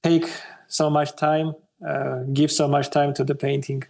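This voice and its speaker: Slow, gravelly Armenian-accented man. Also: fairly high pitch, little pitch movement, a little mellow. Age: 30s. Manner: halting, searching for English words with many "uh"s. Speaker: guest artist. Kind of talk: interview conversation.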